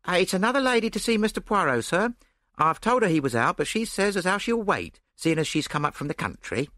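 A man voicing a chatty, fluttery landlady, talking high and quick. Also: lively intonation, pushed and bright, no echo, clean studio sound.

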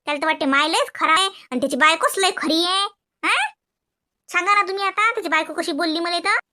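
A woman voicing a quick-tempered village mother-in-law who nags and scolds, speaking. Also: pushed and bright, full character voice. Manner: shrill nagging, keeps barking "हा?".

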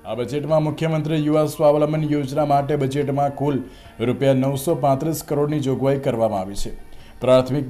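Mellow male news narrator over music. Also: mid-range voice. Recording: music bed under voice-over.

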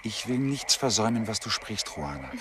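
A man's voice: on the low side, big pitch swings, normal speed. An actor playing an ardent, earnest man in love in a radio play. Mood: tender. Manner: romantic, earnest. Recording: noisy, loud croaking frogs behind.

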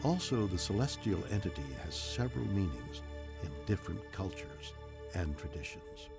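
An actor playing a composed male spaceship computer in a game, with a measured, dramatic delivery. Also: low voice, expressive, creaky. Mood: measured, grand. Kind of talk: scripted game voice acting. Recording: music behind the voice.

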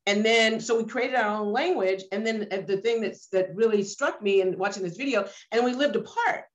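A woman's voice: mid-range voice, moderate pace, highs cut off. A woman facilitating a workshop discussion over a video call, speaking emphatically and repeating points for stress. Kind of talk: leading an online discussion. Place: room with a sloped ceiling. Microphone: laptop webcam on a video call.

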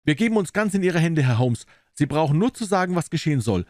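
Man playing an eager young gentleman, pitched up. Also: big pitch swings, fast talker, dry recording, clean studio sound. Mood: eager, deferential. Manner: polite, earnest.

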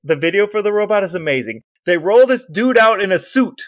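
A man co-hosting a casual podcast, telling stories with enthusiasm and asking deadpan questions. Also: high voice, fairly quick, no echo, clean studio sound. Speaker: podcast co-host.

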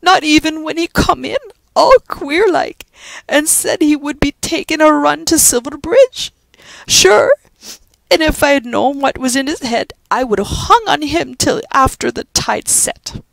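A woman's voice: high voice, animated, a little slow, creaky, no room sound, pitched above the performer's own voice, exaggerated voice, rough recording. A woman doing a wailing old Irish housekeeper. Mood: wailing remorse. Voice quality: lilting dialect speech.

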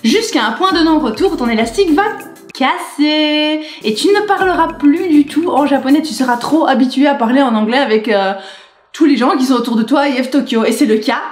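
A woman in her twenties vlogging to camera in a chatty, rambling, animated way, gesturing as she talks. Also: high voice, brisk. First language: French. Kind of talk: casual chat to camera. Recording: echoey room with faint music.

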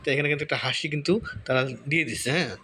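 A man hosting a casual screen tutorial, thinking aloud and repeating words slowly as he types. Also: on the high side, sharp tone. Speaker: tutorial host. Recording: clear background noise.

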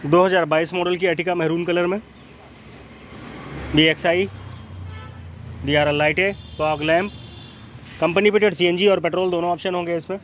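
A North Indian man with a slightly high voice gives a used car walkaround. He lists features in short, matter-of-fact phrases. He is recorded outdoors in a car lot, with faint street noise behind him. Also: fairly even intonation, moderate pace, pauses often, a bit bright. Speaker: used car dealer presenting cars. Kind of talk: spoken walkaround sales presentation. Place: outdoor car lot, beside and inside cars. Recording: noisy outdoor street ambience.

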